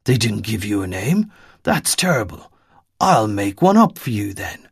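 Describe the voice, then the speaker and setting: A man voicing an adult man who has lost his memory, speaking calmly and reassuringly in a story reading. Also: lowish voice, a little slow, creaky, husky, no room sound, clean studio sound, full character voice. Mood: gentle.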